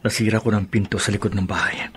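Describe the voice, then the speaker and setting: A man's voice: fairly low pitch, steady tone, fast, noisy background, lightly acted. A Filipino actor playing a furtive burglar in a radio drama, a desperate man when cornered. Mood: furtive, muttering.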